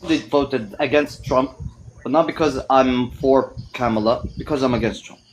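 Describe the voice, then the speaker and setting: A man from Palestine talking politics in a casual online discussion, measured and thoughtful, with many pauses and fillers. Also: mid-range voice, slight background noise, low-quality recording. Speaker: guest.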